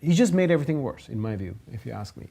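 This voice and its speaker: A man with a Turkish accent speaking at a public forum, thoughtful and emphatic, pausing and restarting as he explains. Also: medium pitch, expressive, vocal fry, dark tone. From Turkey. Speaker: guest speaker. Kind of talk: public forum talk and answers. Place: lecture hall forum.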